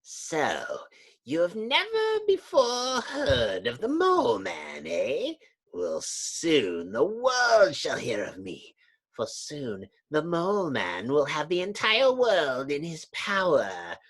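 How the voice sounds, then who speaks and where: A woman voicing a bitter, menacing male underground villain in a husky, grandiose, theatrical comic-book reading. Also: low-pitched, huge pitch swings, takes it slowly, heavily acted. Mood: menacing, gloating.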